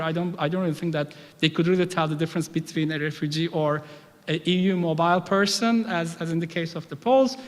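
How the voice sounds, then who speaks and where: High-voiced man lecturing in a hall. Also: a bit bright. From United Kingdom. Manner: thoughtful, many restarts and "you know" fillers. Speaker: academic speaker. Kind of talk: public talk on migration. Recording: reverberant hall.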